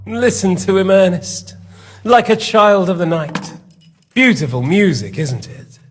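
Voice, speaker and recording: A man's voice: sits high, expressive, unhurried, soft background music. A man playing a smug, sadistic lord in a game, interrogating with mocking, theatrical, mock-polite menace. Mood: gloating. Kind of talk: dramatic game acting.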